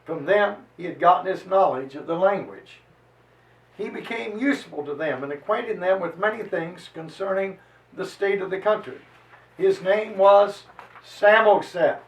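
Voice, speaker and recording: A man giving an emphatic, declamatory dramatized reading of a historical chronicle, stretching out phrases deliberately. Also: high-pitched. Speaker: reader and lecturer on history. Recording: roomy echo, voice distant from microphone.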